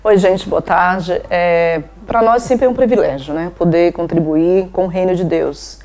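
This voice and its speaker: Woman, low mellow voice, earnest, devout, NGO head being interviewed. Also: a little slow. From Bahia, Brazil. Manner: devout, earnest, frequent "né?" tags. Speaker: NGO president. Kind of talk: interview answers.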